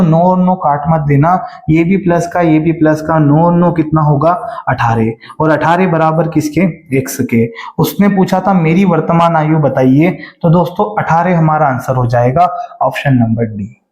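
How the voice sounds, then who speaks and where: A man's voice: fairly high pitch, a little mellow, echoey room. Man teaching math step by step. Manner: explains step by step with frequent check-ins; stretches key phrases slowly while reading the question aloud. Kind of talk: exam-prep math lesson.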